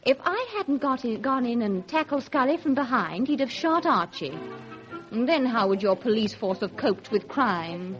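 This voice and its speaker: An actress playing a spirited, cheeky young sweetheart in a radio drama. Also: female voice, a little high, lively intonation, normal speed, muffled recording, faint music behind, natural voice. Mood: spirited defiance. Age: young adult.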